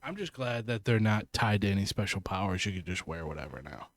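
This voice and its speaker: A man's voice: lowish voice, lively intonation, fairly quick, fairly bright tone. A man co-hosting a podcast, easygoing and enthusiastic in casual chat. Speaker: podcast co-host.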